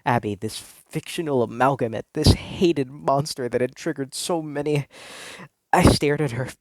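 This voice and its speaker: Guy reading a story out loud, very dramatic and earnest, super dry close recording. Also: medium pitch, moderate pace, no room sound. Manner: theatrical, earnest confessional delivery. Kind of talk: dramatic first-person story narration.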